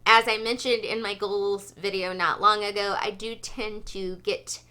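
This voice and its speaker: A woman talking casually and chattily as a book vlogger, with emphatic, animated delivery. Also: medium pitch, unhurried.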